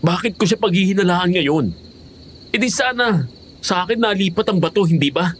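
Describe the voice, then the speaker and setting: A man's voice: high-pitched, animated, moderate pace, a little hiss or hum. A man playing a loving but hard-headed young husband, earnest and dramatic, in a horror audio drama. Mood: defensive. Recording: music behind the voice.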